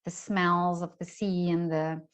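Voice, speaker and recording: A woman's voice: on the low side, flat delivery, measured pace, clear, resonant tone. A middle-aged woman hosting a casual interview in a chatty, effusive manner, recorded with a dull, muffled sound. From lives in Vienna, Austria. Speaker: interviewer, series host.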